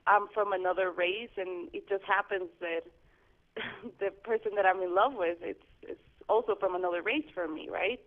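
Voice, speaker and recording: A woman from Guatemala calling in to a show on her home phone, talking earnestly and haltingly, with frequent restarts. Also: mid-range voice. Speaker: caller. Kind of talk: call-in radio chat. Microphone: home landline phone. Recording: phone line.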